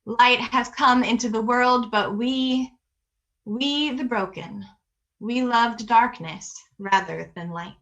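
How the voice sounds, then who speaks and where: A woman's voice: fairly high pitch, slow pace, fairly bright tone, dull audio. A woman leading worship with a scripted, solemn and reflective liturgical address over a video call. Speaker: worship leader.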